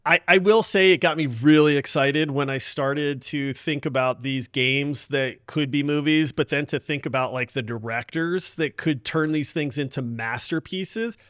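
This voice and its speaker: A man hosting a casual gaming podcast with excitable, hyped-up banter. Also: fairly high pitch, normal speed, few pauses, no echo, clean studio sound. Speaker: podcast host.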